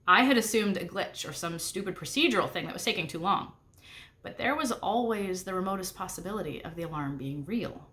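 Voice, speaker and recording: A woman's voice: fairly low pitch, animated, fairly quick, bright, forceful tone. A woman narrating a middle grade novel aloud, expressively and with a snarky first-person manner. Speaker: narrator reading a book aloud.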